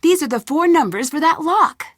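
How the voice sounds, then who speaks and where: Plucky young girl detective for a video game, highish voice, musing to herself, super dry recording. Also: lively intonation, normal speed, a bit bright, no echo. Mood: curious, focused. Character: curious, plucky young woman amateur detective. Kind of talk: video game voice acting, thinking aloud.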